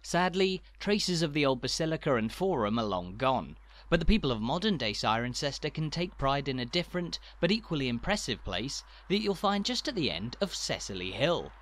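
Lively man narrating a walking tour. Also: on the high side. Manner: measured, informative tour narration. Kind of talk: scripted narration.

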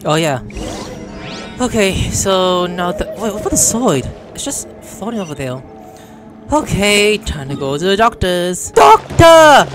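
A man's voice: sits high, big pitch swings, unhurried, music in the background. A man giving casual, joking commentary as he streams a game. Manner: joking, reactive.

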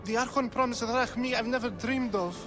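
An actor playing a cowardly, poor young artist who pleads fearfully in a video game cutscene. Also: male voice, very high voice, fairly quick, music in the background. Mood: frightened pleading.

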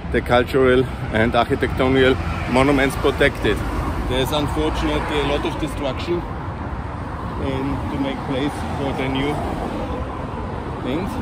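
A middle-aged man talks in a chatty, enthusiastic way while walking city streets and filming himself with a phone, speaking through an earphone mic. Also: medium pitch. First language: German. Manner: chatty, enthusiastic, loosely phrased with restarts. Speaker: vlogger giving a walking tour. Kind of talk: casual walk-and-talk narration. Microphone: wired earphone mic, phone held at arm's length. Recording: outdoor street noise. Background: city traffic.

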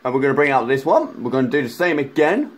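Croaky man commentating a racing game. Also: mid-range voice, fast, slight background noise. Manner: chatty, excitable, rambling with fillers. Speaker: gaming YouTuber.

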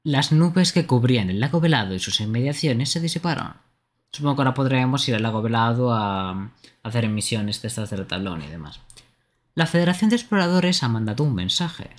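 A man's voice: mid-range voice, animated, a little fast. A man streaming a video game, reading its text aloud and commenting on it. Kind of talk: live game dubbing and commentary. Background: game music.

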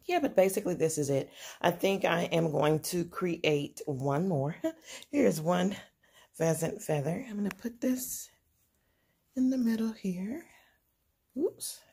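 Woman, home decor vlogger; low, soft-spoken, unhurried, filmed on a phone at her table. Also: vocal fry, breathy edge. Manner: soft-spoken, unhurried, frequent ums and uhs. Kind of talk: casual show-and-tell narration. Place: indoors at a dining table.

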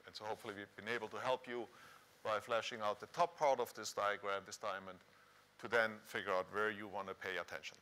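A man's voice: lowish voice, fairly even intonation, fairly quick, bright, forceful tone. A middle-aged man with a Swiss accent giving a conference presentation in a conversational manner. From Switzerland. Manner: conversational, rhetorical questions to the audience. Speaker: conference speaker, professor. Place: large dark conference hall stage, indoors.

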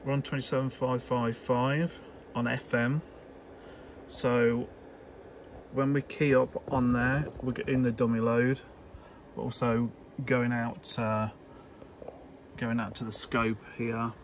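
Slow, hesitant man demonstrating at a workbench. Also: medium pitch, little pitch movement. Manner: hesitant, unscripted, halting phrasing. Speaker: electronics hobbyist presenter. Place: indoor electronics workbench. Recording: clear background noise.

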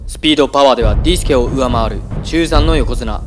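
A man's voice: medium pitch, quick pace. A man narrating and commentating a competition with dramatic, announcer-style calls. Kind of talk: energetic sports-style commentary. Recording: voice-over under loud music.

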